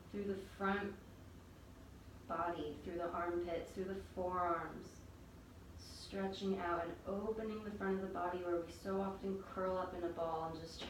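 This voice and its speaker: A woman teaching a yoga class, calmly guiding breath and movement step by step. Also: on the low side. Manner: calm, gentle cueing of breath and movement. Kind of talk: guided yoga instruction. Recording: very echoey room, distant mic, faint noise.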